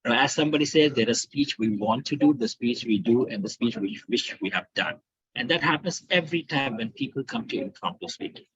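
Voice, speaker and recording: This middle-aged man from India speaks as a guest trainer over a video call, telling his story with earnest, building emphasis. His voice has a husky edge, and the audio sounds dry and a little muffled, as on a web call. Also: medium pitch, lively intonation, a little fast, a bit bright, no room sound. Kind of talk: online training talk. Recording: slightly dull online call audio.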